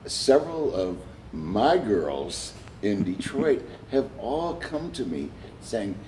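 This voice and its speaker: A middle-aged man hosting an interview, lively and gossipy in how he asks questions. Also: fairly low pitch, takes it slowly, a little hiss or hum, poor audio. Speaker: host. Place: large bright studio loft indoors.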